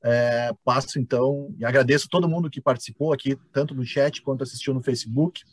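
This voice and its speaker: A man's voice: mid-range voice, fairly even intonation, a little fast, no room sound, studio quality. A Brazilian man hosting an informal academic online panel as its moderator.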